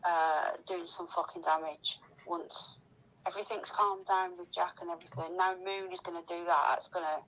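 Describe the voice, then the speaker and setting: A woman's voice: on the low side, fairly even intonation, moderate pace, a bit bright, rough recording. A woman chatting casually and gossiping in a rambling, sweary, complaining way.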